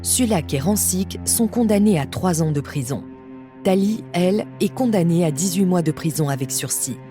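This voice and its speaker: A woman's voice: on the low side, lively intonation, normal speed, mellow. A woman reading a scripted true-crime story as a podcast narrator. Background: music.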